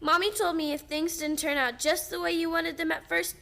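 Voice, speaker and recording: An actress playing an excited, trusting little girl in a live old-time radio play. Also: very high-pitched, brisk, vocal fry, full character voice. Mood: excited, believing.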